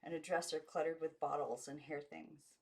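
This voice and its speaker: A woman reads a story aloud in the manner of a home audiobook narrator. Her voice sits a little low for a woman as she delivers the scripted narration. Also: steady tone, normal speed, darkish tone. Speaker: amateur audiobook reader.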